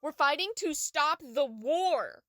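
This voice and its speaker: A woman's voice: sits high, lively intonation, takes it slowly, fairly bright tone, no room sound, studio quality, heavily acted. A woman playing a small, fiery young female dragon, fierce and emphatic, in a fantasy audio drama. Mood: fierce, indignant. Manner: ferocious, emphatic.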